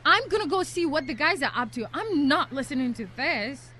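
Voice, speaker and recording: A woman's voice: sits high, lively intonation, normal speed. A young woman acting an easygoing, well-behaved reality show contestant in a student radio play. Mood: exasperated. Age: young adult. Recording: live radio studio, faint background noise.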